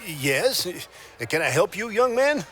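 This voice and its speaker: A woman playing an excitable, absent-minded male inventor with a theatrical manner in a puppet show. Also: mid-range voice, takes it slowly. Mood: puzzled, muttering. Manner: exclamatory, theatrical. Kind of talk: church puppet show acting.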